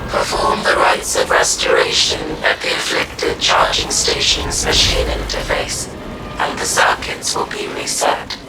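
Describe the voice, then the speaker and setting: An actor playing a mechanical announcer who reads out faults and orders in clipped, bureaucratic phrases with a deep gravelly monotone. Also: male voice, measured pace, heavily acted. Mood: flat. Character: rumbling low machine announcer, mechanical and flat. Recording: echoey and distant, under loud game music.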